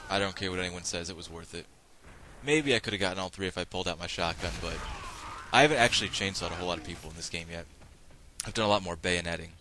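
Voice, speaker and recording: A man giving rambling, casual running commentary while playing an online shooter, his voice slightly raspy and hoarse from a cold, often breaking off mid-thought to react to the action. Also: low voice, background noise. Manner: rambling, self-interrupting, bursts of excitement at game action. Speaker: gameplay commentator.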